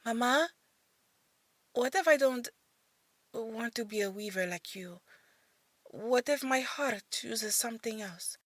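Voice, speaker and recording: A woman's voice: fairly high pitch, slow pace, pauses often, dry recording, voice pushed up. A woman voicing a restless young daughter who longs to be free, speaking earnestly in an audio drama. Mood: hesitant.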